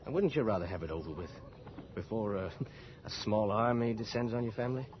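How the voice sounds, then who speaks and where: An actor playing a courteous, earnest visiting reporter in a radio drama, hesitant but persistent. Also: male voice, lowish voice, a little fast, heavy background noise, close to an everyday voice. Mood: earnest persuasion. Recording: muffled old radio drama, background sounds.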